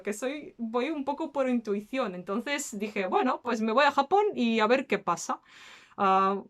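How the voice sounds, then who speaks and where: Chatty woman with a slight Russian accent. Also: medium pitch. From Belarus, later raised in Spain. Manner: chatty, breaks into laughs. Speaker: channel host. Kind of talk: casual question-and-answer vlog.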